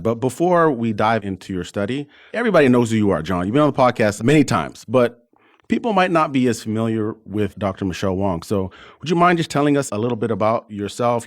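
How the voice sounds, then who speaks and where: Male podcast host for medical interviews, lowish pitch, close dry recording. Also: dry recording.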